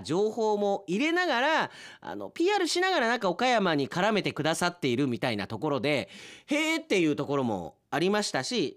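A man's voice: high-pitched, fairly bright tone. A man hosting chatty radio after-show talk, reading out submissions with playful asides. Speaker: radio host and announcer.

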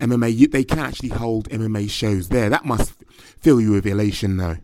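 A man's voice: lowish voice, brisk, warm tone, no room sound. A man interviewing a fighter, asking long leading questions in slightly formal phrasing. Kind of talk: sports interview.

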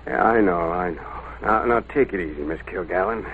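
Gruff kindly lieutenant, tinny radio. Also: male voice, low-pitched, moderate pace, exaggerated voice. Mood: consoling. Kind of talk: old-time radio drama acting. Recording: tinny, slightly noisy old radio.

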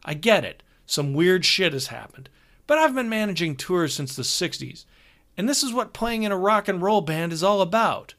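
Man putting on a brash old rock road manager, higher voice, wheedling, dismissive, audiobook. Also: lively intonation, normal speed, a bit bright. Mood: reassuring. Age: older. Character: pushy upbeat older male rock road manager.

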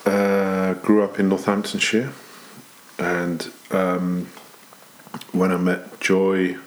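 Very deep-voiced, easygoing Englishman. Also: monotone, poor audio. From England. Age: middle-aged. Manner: easygoing, laughs often, unhurried. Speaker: interviewee. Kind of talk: casual conversational interview.

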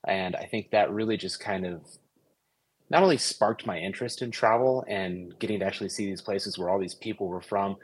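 Low husky-voiced man. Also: fairly quick. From United States, raised in Papua New Guinea. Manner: relaxed, thoughtful, with frequent fillers and restarts. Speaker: podcast guest, commercial pilot. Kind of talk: casual conversational interview.

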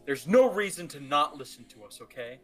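A man's voice: a little high, animated, fairly quick, fairly bright tone, soft background music. A man co-hosting a podcast in casual, joking banter with a playfully teasing manner. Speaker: podcast co-host.

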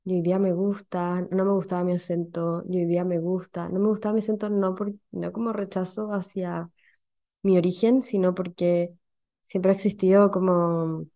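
A young woman with a Chilean accent hosting a calm, reflective podcast, speaking slowly and gently as she guides the listener. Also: fairly low pitch. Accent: Chilean Spanish. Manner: slow, gentle and soothing, guides breathing. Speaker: podcast host.

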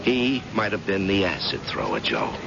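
A man's voice: deep voice, big pitch swings, moderate pace, gravelly, heavily acted. A man acting in an old-time radio drama as a grim, loyal private eye, earnest and urgent, with hard-boiled colloquial talk. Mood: grave. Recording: muffled, noisy old radio recording.

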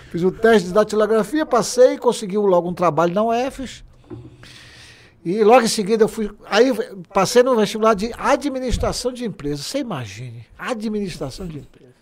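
A man's voice: sits high, normal speed. A man, a guest telling stories in a casual, joking way and laughing often. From Bahia, Brazil. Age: 60s. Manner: animated, jokey, laughs often. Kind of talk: casual storytelling interview.

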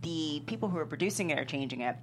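A woman with a very low-pitched voice chats casually as a panelist. She rambles and restarts her thoughts midway. A faint hum is audible in the room. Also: expressive, quick pace, poor audio. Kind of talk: casual chat.

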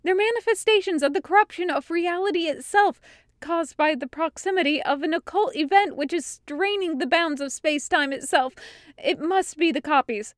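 Woman voicing an excitable, high-pitched young female mage. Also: normal speed, dry recording, clean studio sound. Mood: urgent, anxious. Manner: breathless, rambling, earnest. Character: excitable, anxious young woman mage blurting dire warnings.

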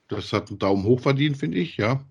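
A man, chatting casually as a podcast co-host, thinking aloud haltingly and repeating himself. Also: medium pitch, expressive, gravelly, slightly rough, highs cut off. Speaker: podcast co-host reviewing audio dramas. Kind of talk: casual chat, reviewing audio plays.

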